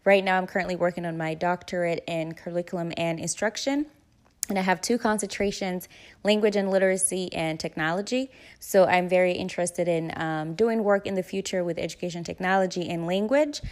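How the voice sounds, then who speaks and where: A woman's voice: on the low side, fairly even intonation, brisk, no room sound. Upbeat young woman from East Africa. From East Africa (Uganda, Rwanda). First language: Luganda. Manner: upbeat, fillers, laughs often. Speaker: podcast guest, doctoral student. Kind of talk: casual interview chat.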